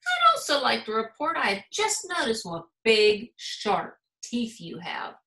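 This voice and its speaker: A small, brave girl pencil from a storybook, read out by a woman. She speaks earnestly in a high, bright voice with room echo around it. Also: wildly swooping pitch, measured pace, full character voice. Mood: suspicious. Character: earnest, timid but brave little female pencil. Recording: roomy echo.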